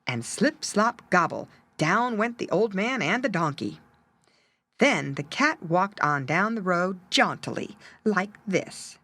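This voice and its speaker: A woman's voice: fairly low pitch, sing-song, slow pace, a bit bright. A woman narrating a children's story expressively, with dramatic emphasis.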